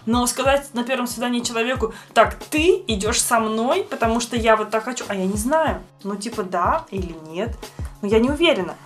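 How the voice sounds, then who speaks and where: A young woman argues her side bluntly on a casual podcast, swearing without a second thought. Her voice is fairly high. Faint music runs under the talk. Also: expressive, brisk. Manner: frank and defensive, swears casually. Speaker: podcast co-host.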